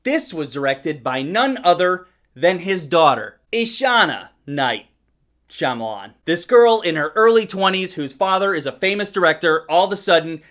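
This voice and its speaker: A man's voice: sits high, lively intonation, moderate pace, fairly bright tone. A man giving a casual, blunt movie review in a conversational way, with some casual swearing. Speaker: film reviewer.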